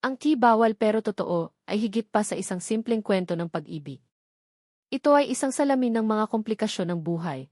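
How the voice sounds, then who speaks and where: A woman reading a scripted story as narrator, in an earnest, sentimental and measured dramatic style. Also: on the low side, a little mellow, dry recording, clean studio sound.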